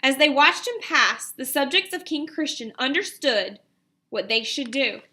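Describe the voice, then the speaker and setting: A woman, an elementary teacher reading a picture book aloud and giving pupils instructions in an expressive, deliberate way. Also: high-pitched.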